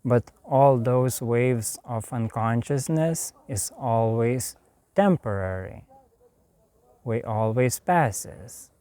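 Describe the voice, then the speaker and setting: A man with a Lithuanian accent speaks very slowly and softly, leaving long pauses between short phrases. His voice is smooth and resonant, and the recording is dry and close. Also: mid-range voice, no echo. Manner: slow, soft and calm, with long pauses. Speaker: spiritual teacher. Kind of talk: meditative spiritual talk.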